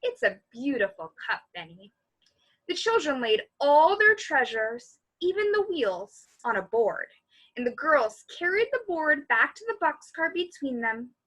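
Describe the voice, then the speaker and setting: Animated, high-voiced woman reading a storybook. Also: poor audio. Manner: animated, pausing to comment on the story. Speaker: library story reader. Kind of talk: expressive children's storybook reading.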